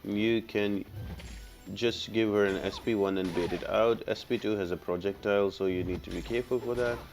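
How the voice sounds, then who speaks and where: A man's voice: on the low side, fairly even intonation, moderate pace, a little mellow, faint music behind. A man giving a casual, instructional walkthrough commentary for a video game. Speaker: game guide creator.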